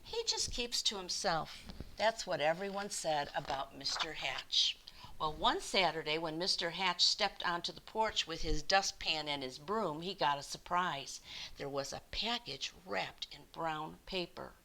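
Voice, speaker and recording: A woman reading a picture book aloud to children, expressively and with deliberate emphasis. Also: deep voice, bright, forceful tone, slight background noise, low-quality recording. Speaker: children's storyteller.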